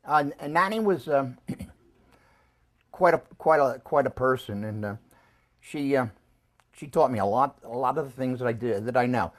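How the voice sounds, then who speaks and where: An older man being interviewed about his family, talking in a halting, casual way with frequent restarts and chuckles, his voice growing hoarse. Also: medium pitch. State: losing his voice. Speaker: interviewee recalling family history.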